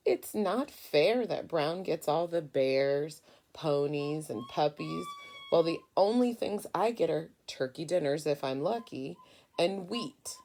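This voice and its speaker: A proud but slighted storybook crayon , played by a woman. The delivery is slow, indignant and emphatic, in a lowish voice with creak at the edges. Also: a little mellow, heavily acted. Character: proud, slighted beige crayon tired of second place.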